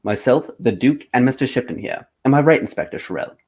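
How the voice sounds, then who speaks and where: A man's voice: medium pitch, lively intonation, fairly quick, a little mellow, slightly rough. Man playing a swooping, confident detective. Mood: confident, accusing. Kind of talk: amateur performance of a 1940s radio mystery.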